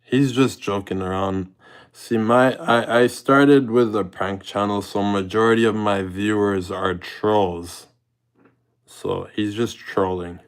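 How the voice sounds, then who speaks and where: A man's voice: fairly low pitch, steady tone, slow pace. A young man live-streaming in public, chatting casually with his viewers and thanking them by name. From mixed Jamaican and British heritage. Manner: laid-back, thanks chatters by name, talks to chat. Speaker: IRL streamer.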